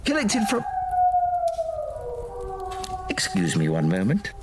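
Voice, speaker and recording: A man's voice: low-pitched, wildly swooping pitch, slow, heavy background noise, full character voice. Man putting on a hammy storyteller, wildly swooping. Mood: grandly inviting. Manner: hammy, dramatic, doddery asides. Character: theatrical old male storyteller of tall tales. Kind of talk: mock-gothic storytelling for a comedy podcast.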